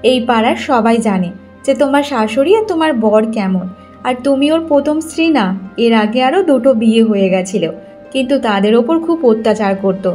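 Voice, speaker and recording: A woman's voice: high voice, mellow. A woman voicing a nosy, knowing female neighbour in a cartoon moral story, gossipy and insinuating.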